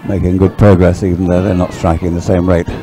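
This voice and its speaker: A man's voice: low voice, flat delivery, fairly quick, music in the background. A man giving chatty, unscripted live sports commentary, often hesitant and thinking aloud as he goes.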